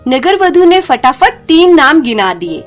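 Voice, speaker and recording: High-pitched, animated woman storyteller. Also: measured pace, faint music behind. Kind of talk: scripted Hindi folk-tale narration.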